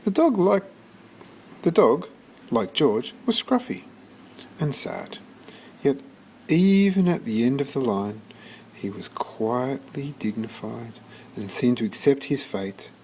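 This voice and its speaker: Unhurried, expressive man reading a children's story. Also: mid-range voice, slight background noise, low-quality recording. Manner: expressive storytelling with deliberate pauses. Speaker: storybook reader. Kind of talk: scripted narration of a children's picture book.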